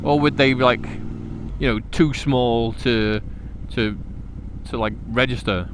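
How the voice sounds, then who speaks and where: A man's voice: mid-range voice, unhurried. A middle-aged man with a Liverpool accent, rambling casually to himself as he rides, jokey, slangy and self-deprecating. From Liverpool, England. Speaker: motorcycle vlogger. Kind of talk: casual rambling monologue while riding. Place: outdoors on a motorbike in traffic. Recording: noisy outdoor road and traffic sound. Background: engine and traffic noise.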